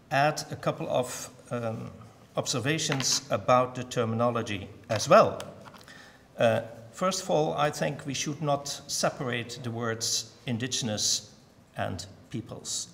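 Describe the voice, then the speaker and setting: An older man from the Netherlands giving a measured, thoughtful talk at a symposium, with frequent pauses and ums. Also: mid-range voice, vocal fry. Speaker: symposium speaker. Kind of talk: academic conference talk. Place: museum lecture hall. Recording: long hall reverb.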